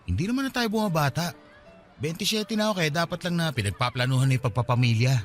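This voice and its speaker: An easygoing young man played by an actor chats in a radio drama. His voice runs a little high and moves quickly, with very lively intonation. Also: soft background music, natural voice. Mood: earnest, easygoing. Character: easygoing man of twenty-seven, settled, loyal hometown friend. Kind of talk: Tagalog radio drama dialogue.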